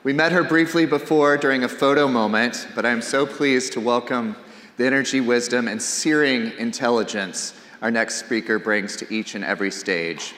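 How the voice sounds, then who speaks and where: A man's voice: medium pitch, moderate pace, fairly bright tone. A man working as the event emcee, introducing the next speaker in an enthusiastic, effusive way. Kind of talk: stage introduction. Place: museum auditorium. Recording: echoey hall with crowd. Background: audience in the hall.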